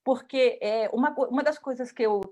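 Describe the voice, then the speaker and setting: Gushing brazilian woman on a video call, kinda high voice, interviewing an author. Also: a little fast, warm tone. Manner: enthusiastic, effusive praise. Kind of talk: casual interview.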